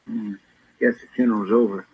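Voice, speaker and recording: A man's voice: mid-range voice, little pitch movement, brisk, creaky, darkish tone, husky, no echo. A man playing a folksy, deferential deputy with a rural American drawl in an old-time radio western. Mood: uneasy. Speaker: radio drama actor. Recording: faintly noisy old radio recording.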